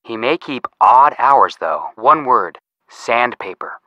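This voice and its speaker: A man's voice: mid-range voice, animated, slow, bright, forceful tone, no echo, dull audio. A young man with an American accent playing a cheeky, casual amateur detective who jokes as he gives video game hints. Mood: teasing, brash. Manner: joking, casual, slangy. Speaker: detective helper giving hints. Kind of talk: scripted video game voice acting.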